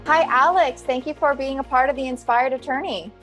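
A woman with a fairly high voice hosts a legal podcast. She delivers a polished, clear intro and then asks short interview questions, with faint music playing under her voice. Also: fairly quick, a bit bright. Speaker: podcast host.

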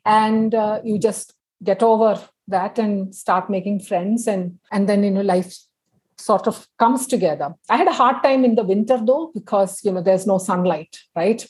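A middle-aged woman from India with a slightly husky voice, talking through a video call. She chats freely, stringing her thoughts together with fillers like "you know" and "uh". Her pitch sits in the middle for a woman. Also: moderate pace, a little mellow, low-quality recording. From India (Kerala). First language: Tamil. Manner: chatty, many fillers, laughs through stories. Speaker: guest. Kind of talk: casual interview chat.

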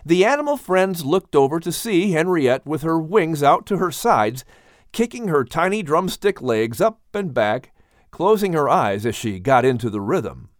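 A man's voice: a little high, big pitch swings, moderate pace, dry recording, studio quality. A man reading a children's animal story aloud as scripted audiobook narration.

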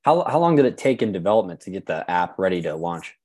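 A man's voice: deep voice, sing-song, fast, a little mellow. A man hosting a podcast, chatting in an easygoing way with enthusiastic reactions. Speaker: podcast host. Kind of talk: casual interview chat.

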